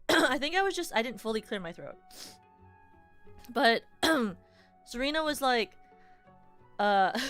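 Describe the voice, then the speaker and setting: Chatty young woman streamer, bright voice. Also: fairly high pitch. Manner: chatty and rambling, playful teasing. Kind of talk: casual livestream chat.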